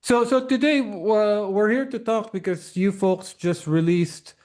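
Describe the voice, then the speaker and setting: Probing male interviewer, slightly higher voice. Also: measured pace, a little mellow. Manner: probing, checks understanding with repeated questions. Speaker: podcast host, interviewer. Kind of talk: conversational interview.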